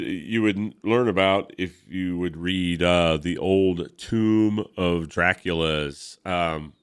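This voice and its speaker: A man's voice: low-pitched, smooth. A stuffed-up man in his fifties hosting a casual comic book reading, rambling with many fillers. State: congested. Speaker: host.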